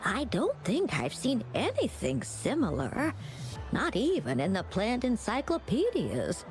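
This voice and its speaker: A woman voicing a kindly elderly flower-shop grandmother in a video game, gentle, affectionate and unhurried in manner. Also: a little high, wildly swooping pitch, faint music behind. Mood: pondering. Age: older.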